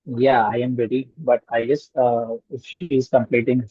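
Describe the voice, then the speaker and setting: A man taking part in an online class, talking in a casual, rushed way over a video call. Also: mid-range voice, fairly even intonation, no room sound. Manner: rushed, mixing languages. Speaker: workshop participant. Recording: husky dull dry video-call audio.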